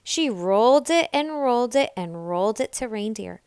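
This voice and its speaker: A woman reading a children's story aloud as scripted narration, in a deliberate, expressive storytelling manner. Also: on the high side, clean tone, no echo, clean studio sound. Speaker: storybook reader.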